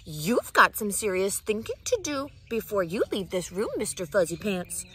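A woman voicing a stern mother cat who scolds loudly and emphatically. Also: mid-range voice, big pitch swings, moderate pace. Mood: lecturing.

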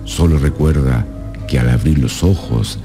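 A man with an extremely low voice narrates a radio drama. His phrasing is measured and suspenseful, with clear music playing behind him.

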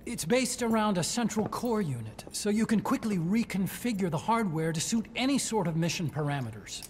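An actor playing an excitable, nervy scientist who lectures theatrically in a video game. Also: male voice, high-pitched, moderate pace, a little hiss or hum. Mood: explaining. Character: excitable nervy male scientist pitching his weapon invention. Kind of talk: video game cutscene acting.

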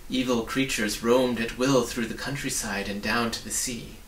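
A man's voice: medium pitch, fairly even intonation, moderate pace, fairly bright tone. A man in his forties reading a children's storybook aloud. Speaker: reader. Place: small indoor study with bookshelves. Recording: roomy, distant mic, faint hiss.

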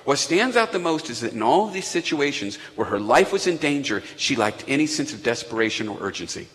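A man's voice: medium pitch, very animated, a little fast, a bit bright. A man preaching a sermon to his congregation in a conversational way, with asides and questions to the room. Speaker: pastor. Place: church sanctuary. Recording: echoey church hall.

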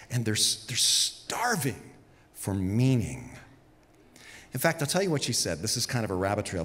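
A man in his fifties preaching a sermon on a church stage with a handheld mic, telling stories and acting out quoted voices. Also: mid-range voice. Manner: storytelling, animated, acts out quotes. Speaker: pastor. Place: large church stage with audience. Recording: long reverb of a big hall.

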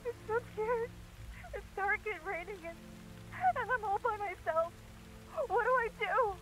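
An actress playing a frightened young woman alone after her mother's death, tearful and pleading and quick to anger. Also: very high-pitched, poor audio. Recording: loud music under the dialogue.

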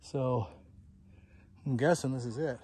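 A man's voice: medium pitch, unhurried, mellow, breathy edge, no room sound. A man in his forties narrating his desert hike outdoors on a handheld camera. He sounds a bit tired and out of breath, talking in a breezy way to himself with little exclamations. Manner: breezy, talks to himself while hiking, exclamations. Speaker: desert explorer vlogger. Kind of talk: casual hiking vlog narration. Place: rocky desert mountainside outdoors. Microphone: handheld phone or action camera.

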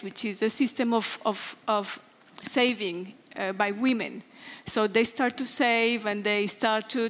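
Middle aged woman on a conference panel, highish voice, big hall echo. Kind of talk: explanatory panel talk. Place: large conference hall stage. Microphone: headset. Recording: long hall reverb from auditorium sound system.

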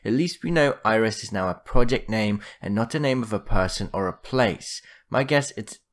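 A man's voice: on the low side, expressive, moderate pace. A man hosting a gaming video, narrating a lore explainer from a script.

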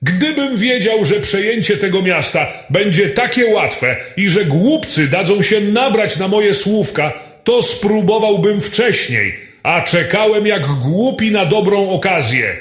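Despotic radio-play villain: greedy, smug, slow and animated, echoey. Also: male voice, sits high. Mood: gloating. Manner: gloating, smug, drawn-out. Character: greedy, gloating male tycoon villain, mock-sympathetic despot. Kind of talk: amateur radio play acting. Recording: roomy echo, faint music behind.

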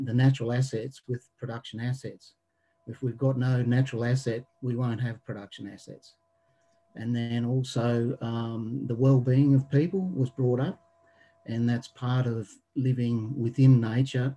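A man in his fifties with an Australian accent, reporting back from a small group discussion on a webinar, with many pauses and ums. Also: mid-range voice, low-quality recording. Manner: halting, many ums, trailing off quietly. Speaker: webinar participant. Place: living room at home. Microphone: video call webcam.

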